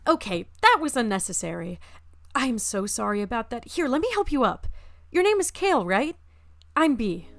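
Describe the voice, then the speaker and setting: Woman playing a flustered, high-voiced, animated office newcomer. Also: normal speed, gravelly, heavily acted. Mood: flustered, apologetic. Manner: flustered, stammering, apologetic. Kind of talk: audio drama acting.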